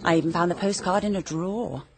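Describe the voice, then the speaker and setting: An actress playing a sharp, scornful upper-class Englishwoman with a British accent in a radio drama, cutting and knowing. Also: fairly low pitch, quick pace, vocal fry, a crowd in the background.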